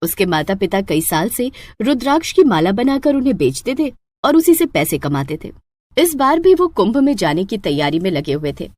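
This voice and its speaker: A woman narrating a scripted moral story as an expressive storyteller. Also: mid-range voice, moderate pace.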